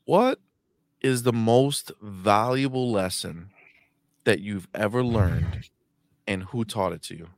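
A man's voice: lowish voice, slow pace, long pauses, no room sound, studio quality. A man hosting an interview who supports and reassures his guest. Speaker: podcast host. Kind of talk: casual interview chat.

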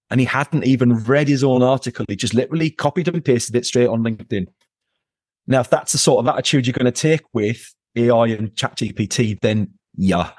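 Low-voiced emphatic man, dry sound. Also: darkish tone, no echo. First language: English. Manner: emphatic, repeats words for stress. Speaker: podcast guest, AI expert. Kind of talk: conversational interview.

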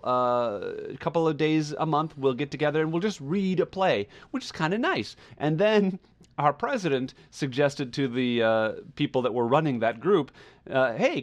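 A man hosting a podcast in a casual, chatty style, rambling as he goes. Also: on the high side. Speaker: podcast host.

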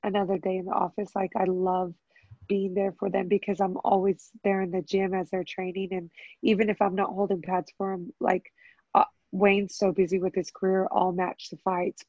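An American woman with a big, carrying voice talks about coaching fighters in a relaxed, chatty way, and her voice jumps up when she mimics cheering from the corner. The sound is dry and a little dull. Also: medium pitch, no echo. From United States. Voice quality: very loud, carrying voice. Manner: chatty, animated, bursts into loud cheering. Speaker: Muay Thai coach. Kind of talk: casual interview answers.

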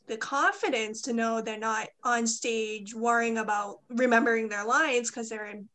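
A woman's voice: on the high side, sharp tone. A woman with a Boston accent hosting a casual interview podcast, chatty and encouraging. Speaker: podcast host.

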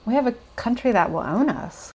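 A woman's voice: a little high, lively intonation, normal speed, over music. An American woman answering interview questions about travel in an earnest, reflective way. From United States. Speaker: podcast guest.

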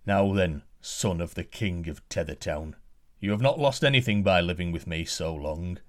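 A man voicing a huge, wrathful male giant who speaks slowly in archaic phrases. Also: low-pitched, animated, pitched below the performer's own voice. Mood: menacing. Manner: slow, heavy, archaic speech.

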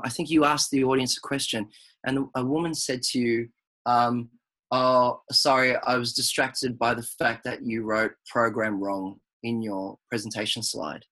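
Brief: male guest, mid-pitched, thoughtful, hesitant restarts. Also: fairly bright tone, low-quality recording. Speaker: guest, performer. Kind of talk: casual interview chat.

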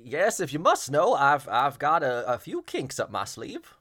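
A man voicing a smug, unashamed wealthy husband, speaking up high and boastful. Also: very animated, unhurried, a bit bright, exaggerated voice.

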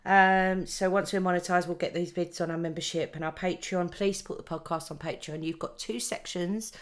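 A woman's voice: lowish voice, steady tone, fairly quick. A woman hosting a podcast in a casual, chatty way. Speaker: podcast host.

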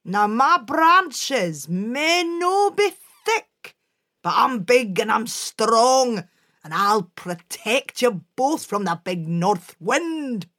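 A woman's voice: on the high side, big pitch swings, fairly bright tone, full character voice. A woman voicing a hearty Scottish pine tree. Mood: reassuring. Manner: slow hearty reassurance. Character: big strong friendly male pine tree.

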